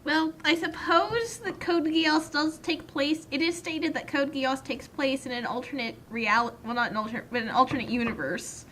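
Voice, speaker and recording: A woman giving casual comic commentary in an excitable, halting way, breaking off and restarting her phrases. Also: sits high, slight background noise, poor audio.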